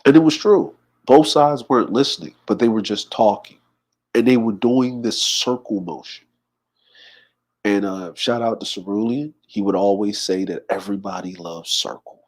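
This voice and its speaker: A man's voice: lowish voice, normal speed. A man of forty hosting a casual livestream, reading viewer comments aloud and greeting people by name. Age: 40s. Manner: relaxed, shouts out viewers and reads comments aloud. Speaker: livestream host.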